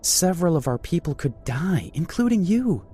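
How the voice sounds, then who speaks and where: An anxious, protective young sister who begs her brother to back down, as a man voices her. The voice is lifted well above his own, soft and breathy, with pleading rises. Faint music plays behind. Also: on the high side, sing-song, normal speed, voice pushed up. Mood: worried, pleading.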